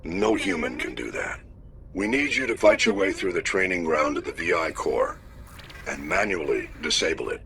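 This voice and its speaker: An actor playing a gruff, commanding older admiral in a video game, giving a formal, direct mission briefing. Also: male voice, low voice, expressive, moderate pace, a bit bright, slight background noise. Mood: urgent, firm. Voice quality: gravelly.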